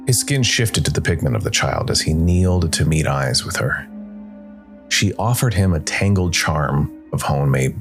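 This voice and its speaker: A man narrating a scripted fantasy tale dramatically as a storyteller. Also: a bass voice. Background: music.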